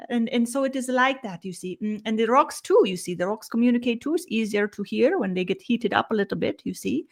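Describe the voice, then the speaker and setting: A woman voicing a benevolent collective spirit guide who speaks as "we", humming "mm" and trailing off into soft murmured "you see". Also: a little high, vocal fry. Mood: serene. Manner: measured, gently instructive. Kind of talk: channeled spiritual session.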